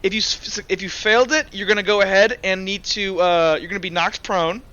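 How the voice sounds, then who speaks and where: High, bright-voiced man running a game. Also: fairly even intonation, brisk, clear, resonant tone, lo-fi sound. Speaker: game master. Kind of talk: improvised narration and rulings for a tabletop roleplaying game.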